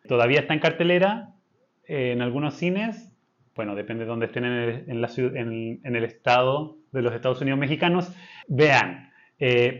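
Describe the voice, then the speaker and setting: Man co-hosting a podcast. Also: mid-range voice. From Chile. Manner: thinks aloud with restarts, firm on principles. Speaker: podcast co-host. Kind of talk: casual podcast chat. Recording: slightly dull lo-fi sound.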